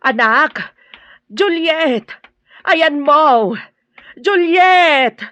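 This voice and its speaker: A woman's voice: high voice, lively intonation, drawn-out words, a bit bright, no room sound, exaggerated voice. A woman playing an anxious, protective mother in an Ilocano radio drama, worried and emotive. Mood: alarmed calling. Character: anxious, protective mother of a sick grown daughter. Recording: dull lo-fi audio with music.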